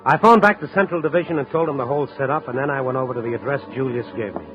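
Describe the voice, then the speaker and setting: An actor playing a world-weary, wry newspaper reporter, narrating and acting in a 1950s radio drama. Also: male voice, medium pitch, rapid-fire, slight background noise, lightly acted. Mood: grim. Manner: hard-boiled, wry. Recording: muffled vintage radio recording with music.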